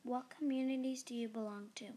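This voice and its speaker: Young woman, high-ish voice, friendly questions, upbeat. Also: fairly even intonation, fairly quick, mellow. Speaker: student interviewer. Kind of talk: family interview.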